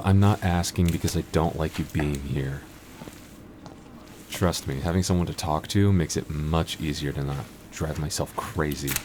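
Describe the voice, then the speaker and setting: Guy acting as a super deep voiced young vampire coworker, chill n teasing, kinda stammery, asmr roleplay, noisy background. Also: a little mellow. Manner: hesitant, stammering, dryly teasing. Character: teasing, laid-back young male vampire convenience-store night-shift coworker. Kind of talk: ASMR roleplay, intimate casual dialogue.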